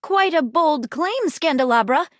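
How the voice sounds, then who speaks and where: A woman's voice: high-pitched, expressive, unhurried, fairly bright tone, dry recording, studio quality. A woman voicing a prim, eager detective in a video game, speaking articulately and theatrically with precise old-fashioned sleuth phrasing. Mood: indignant, triumphant. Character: prim, eager, dramatic woman detective, a datable household object. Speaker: voice actor in a dating simulator game. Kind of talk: video game character dialogue.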